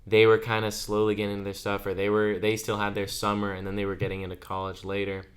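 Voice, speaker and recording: A man's voice: fairly low pitch, monotone, fast talker. An eighteen-year-old man co-hosting a podcast, telling stories in a chatty way and laughing as he goes. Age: under 20. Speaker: podcast co-host. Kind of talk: casual chat.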